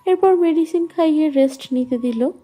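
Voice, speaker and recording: A woman's voice: high-pitched, moderate pace, warm tone. A woman narrating a scripted romantic story. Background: faint music.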